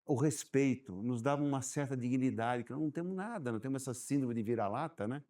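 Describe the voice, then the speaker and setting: Man, guest, warm dark tone, animated storyteller who stumbles over repeats. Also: medium pitch, fast. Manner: animated storyteller, repeats words while thinking. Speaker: guest business owner. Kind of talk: casual podcast chat.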